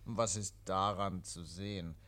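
An actor playing a composed, dry-witted police inspector in a 1950s radio play, measured and quietly insistent. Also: male voice, low-pitched, steady tone. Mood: matter-of-fact. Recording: vintage 1950s radio recording.

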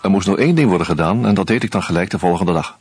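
A man narrating a radio drama in the first person, measured and reflective. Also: low voice, over loud music.

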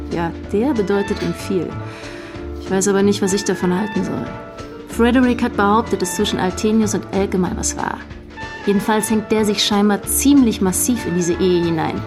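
A young actress playing an emotional, defensive young lover being questioned in a German radio crime drama, often hesitating. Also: female voice, mid-range voice, moderate pace, music in the background, natural voice. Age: young adult. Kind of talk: German radio play acting.

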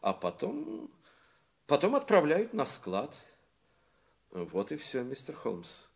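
A man's voice: on the high side, wildly swooping pitch, slow, creaky, exaggerated voice. A man acting an indignant, respectable workshop owner in a Russian audio play, speaking emphatically and repeating words. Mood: obliging.

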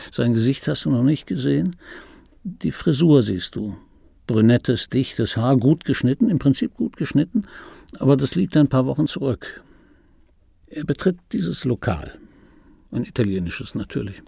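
An ageing dubbing actor looking back with wry obsession in a radio play. The voice is mellow and dark, with a heavy creak and a husky rasp. He weighs each phrase, pausing and repeating himself in an intimate monologue. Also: medium pitch, no echo, clean studio sound, exaggerated voice. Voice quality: gravelly, husky. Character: ageing male dubbing actor recalling his screen star, wry, obsessive.

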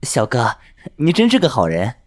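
A man's voice: mid-range voice, huge pitch swings, normal speed. A man playing a flustered, easily smitten young homebody in an audio drama, speaking hesitantly and stammering. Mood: apologetic, shy. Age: young adult. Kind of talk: Chinese audio drama acting with inner monologue. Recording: music under the voice. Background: music.

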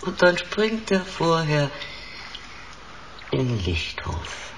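A gravelly, unhurried busybody neighbour in a radio play. He gossips in short, folksy bursts, drily amused and sure he knows it all, with some noise behind him. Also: a little high, sing-song, exaggerated voice. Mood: wry gossiping. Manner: clipped rambling sentences, folksy and knowing. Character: nosy, talkative neighbour who sees everything, drily amused. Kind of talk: German radio drama acting.

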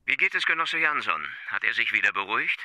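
Cutting, sharp-voiced state prosecutor. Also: male voice, medium pitch, lively intonation, quick pace, no echo. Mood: condescending. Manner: sardonic, officious, clipped orders. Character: cutting, condescending East German state prosecutor. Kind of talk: radio drama acting.

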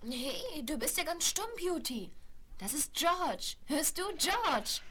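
A high-voiced, lively young girl. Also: takes it slowly. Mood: playful, proud. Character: George's lively, horse-loving young sister. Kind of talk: 1970s German children's radio play acting. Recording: crackly 1970s vinyl rip with clicks.

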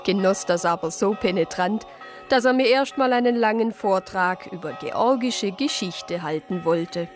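A woman playing an observant deaconess narrator in a radio play. She tells the story in a mid-pitched voice, with faint music underneath. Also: unhurried, natural voice. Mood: wry, reflective. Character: observant deaconess recounting a murder case. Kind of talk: scripted radio drama narration.

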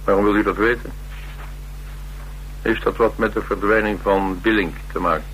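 An actor playing a rough, hard-drinking drifter and former mechanic in a radio drama, speaking tersely with dry, slangy nonchalance. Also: male voice, lowish voice, fairly even intonation, normal speed, a bit bright, natural voice, rough recording. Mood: blunt, defiant. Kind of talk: naturalistic Dutch radio drama acting. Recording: radio recording with background hiss and hum.

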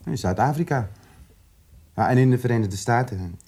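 An actor playing a wary, exasperated geologist under interrogation in a radio drama, answering tersely and protesting insistently. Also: male voice, mid-range voice, lively intonation, normal speed, darkish tone, breathy edge. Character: defiant male geologist detainee, wary and exasperated.

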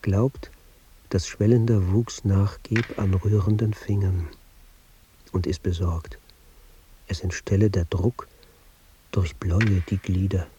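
Solemn male verse reciter, radio drama, low, slow, tender, hushed, intimate, faint background noise. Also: level tone. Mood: tender, reverent. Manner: measured, intimate, hushed phrasing.

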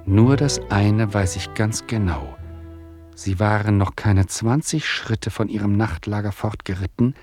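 An actor playing a teasing, mock-impatient storyteller in a radio play, addressing the listener in a wry, theatrical and digressive way. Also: male voice, lowish voice, a little slow, a little mellow, faint music behind, lightly acted.

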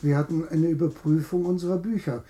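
An actor playing a courteous gentleman captain in a radio drama, formal, measured and tactful. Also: a little high, steady tone, echoey room, close to an everyday voice. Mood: grave, courteous. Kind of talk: German radio drama acting.

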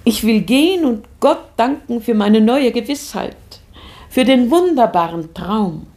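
High, expressive, blissful lady. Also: unhurried. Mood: serene, rapturous. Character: pious, blissfully assured lady of the house. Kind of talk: 1960s German radio play acting.

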